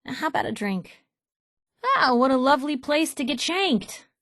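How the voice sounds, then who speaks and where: A woman voicing a sharp-witted, idealistic young female reporter companion in a video game, wry and conversational. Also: high-pitched, animated, moderate pace, no echo, clean studio sound. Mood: wry, teasing.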